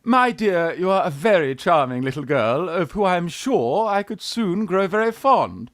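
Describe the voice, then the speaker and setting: A high pitched fake auntie, flustered and haughty, swoopy voice, old farce radio play. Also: male voice, very animated, normal speed, a bit bright, no echo, studio quality. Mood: coy. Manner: flustered, haughty, archly coy. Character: young man posing as a flustered genteel aunt. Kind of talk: Victorian farce radio acting.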